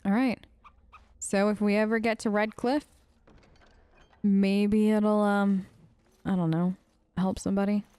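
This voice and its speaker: A woman's voice: mid-range voice, steady tone, unhurried, long pauses, mellow, clear, resonant tone, no echo. A woman giving casual, chatty gameplay commentary, often talking to herself and to the characters as she plays. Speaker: let's play host.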